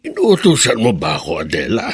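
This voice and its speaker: An actor playing a stubborn, irritable elderly father in a radio drama, bitter and scolding, with a husky voice. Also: low voice, huge pitch swings, brisk, heavily acted. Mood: sullen. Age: older.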